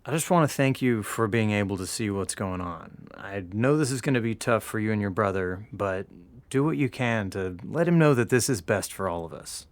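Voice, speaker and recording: A man's voice: lowish voice, expressive, normal speed, no echo. A man playing an earnest, fatherly middle-aged dad in an audio drama.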